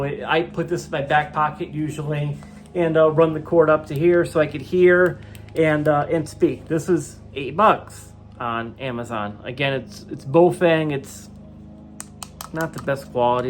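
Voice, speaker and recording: An American man hosts a casual gear review and walkthrough, chatting informally to viewers as he explains things for beginners. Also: on the high side. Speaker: host of a product review video.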